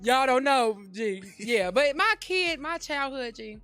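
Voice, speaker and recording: A woman, a podcast guest, talking fast and chattily in a casual interview. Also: sits high, lively intonation, over music. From Chicago south suburbs.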